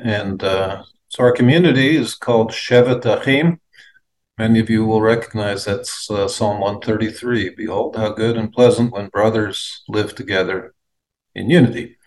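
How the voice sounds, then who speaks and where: An American man in his fifties, giving a reflective faith testimony as an interview guest, measured and hesitant with frequent fillers. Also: lowish voice, rough recording. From United States. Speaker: guest, aid organization leader.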